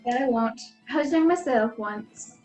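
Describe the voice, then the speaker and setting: A woman's voice: a little high, normal speed, a little mellow, lightly acted. A young woman acting a kindly, homely old innkeeper in an amateur radio play. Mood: kindly concern. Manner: folksy, hospitable. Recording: dull lo-fi video call audio. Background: faint music.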